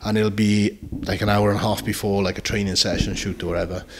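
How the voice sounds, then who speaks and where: A man's voice: lowish voice, flat delivery, fast talker. A British man in his late thirties hosting a casual podcast chat in a laddish, sweary, bantering way. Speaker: podcast host.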